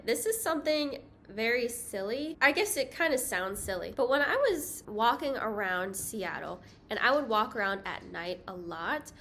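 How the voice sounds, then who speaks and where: A young woman vlogger talks straight to camera, friendly and self-deprecating, with little laughs now and then. Her voice sits fairly high. Faint noise hums behind her. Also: fairly bright tone. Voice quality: higher-pitched voice. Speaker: travel vlogger. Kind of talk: casual talking-head vlog.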